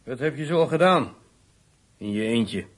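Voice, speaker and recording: A man's voice: mid-range voice, animated, unhurried. A man playing a probing interrogator in a radio drama, putting pointed, knowing questions. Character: probing adult male interrogator, knowing and persistent.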